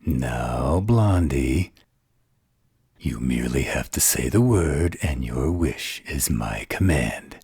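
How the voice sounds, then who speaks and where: A man playing a sardonic, flirtatious vampire interrogator in an audio drama, with a slow, sarcastic delivery. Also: expressive, darkish tone, no echo, clean studio sound, full character voice. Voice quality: very deep, gravelly, husky. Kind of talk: dramatic audio roleplay acting.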